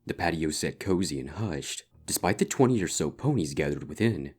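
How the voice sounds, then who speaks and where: A man's voice: very deep voice, expressive, moderate pace, slightly rough. A man reading a scripted story as its narrator. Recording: music bed behind the voice. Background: soft instrumental guitar music.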